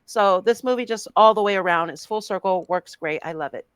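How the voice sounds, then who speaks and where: A woman's voice: mid-range voice, brisk, clean tone, dry recording. Chatty woman podcast co-host, dry close mic. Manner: animated, laughs often, many restarts and fillers. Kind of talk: casual podcast chat about films.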